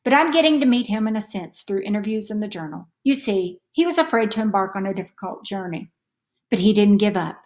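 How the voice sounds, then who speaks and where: A woman voicing a kindly, encouraging older male relative in a storybook, gentle and reassuring. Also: medium pitch, expressive, fairly quick, natural voice.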